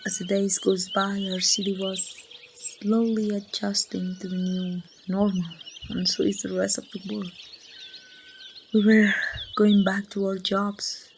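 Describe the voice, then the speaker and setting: A grieving female survivor , acted by a woman with a Mexican accent, confides in someone she lost in a hushed ASMR roleplay. Her delivery is slow and flat, with gaps between phrases and a creaky edge. She is heard over faint noise and birdsong. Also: mid-range voice, close to an everyday voice. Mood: subdued, hopeful. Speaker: amateur voice actor.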